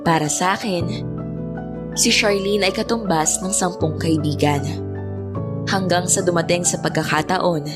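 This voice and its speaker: A woman's voice: lowish voice, a little slow, pauses often, lightly acted. A woman voicing an earnest young woman in a dramatized first-person narration, reflective and confessional about her heartbreak. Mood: reflective, fond. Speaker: story narrator. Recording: music bed under the voice.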